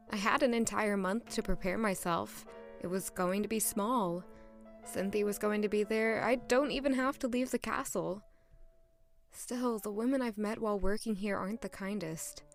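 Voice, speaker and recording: Over music, a woman plays a gentle young castle maid in an audio drama. She sounds earnest, caring and reflective, with a mid-range, soft delivery. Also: mid-range voice, lightly acted. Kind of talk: audio drama acting and first-person narration.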